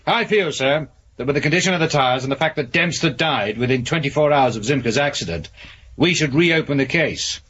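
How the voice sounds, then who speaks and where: An actor playing a sceptical, insistent police detective with a brusque manner in a radio drama. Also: male voice, mid-range voice, a little fast, a bit bright, lo-fi sound, a little hiss or hum, close to an everyday voice. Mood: uneasy, restrained.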